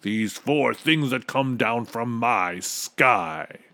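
A man's voice: mid-range voice, animated, drawn-out words, sharp tone, no echo, full character voice. A man putting on a bellowing grumpy old king. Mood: angry, bellowing. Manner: growling, bellowing.

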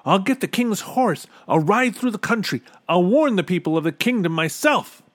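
A man acts out an earnest young boy from a storybook. The voice is pitched well up and very lively, with urgent, pleading exclamations in a dry recording. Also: moderate pace, no echo. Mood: determined. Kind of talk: storybook character reading.

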